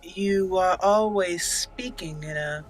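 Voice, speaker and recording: A woman's voice: fairly low pitch, slow, a little hiss or hum. A woman hosting a gaming video, giving let's play commentary and roleplaying her character's lines.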